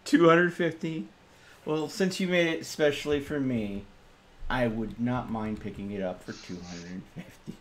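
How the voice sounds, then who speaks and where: A man's voice: mid-range voice, fairly even intonation, measured pace, fairly bright tone. A man playing a tabletop roleplaying game, chatting casually in and out of character with playful banter.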